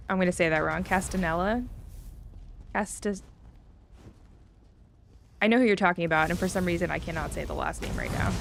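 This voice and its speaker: Chatty woman streamer with a lower, creaky voice. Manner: chatty, upbeat, thinking aloud. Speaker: livestreamer playing an online fantasy game. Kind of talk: casual gameplay chat, reading out viewer comments. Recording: faint game music behind the voice. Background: faint game music.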